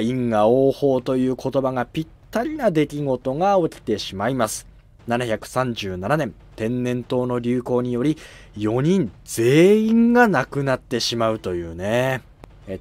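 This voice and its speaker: A young man hosting a history channel, explaining events in a polite, casual way with drawn-out fillers, recorded on a lapel mic in a studio. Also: mid-range voice, a little mellow.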